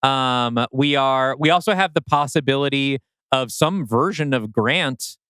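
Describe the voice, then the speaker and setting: A man's voice: medium pitch, measured pace, a bit bright, clear, resonant tone, no room sound. A man co-hosting a podcast in casual creative discussion. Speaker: podcast co-host.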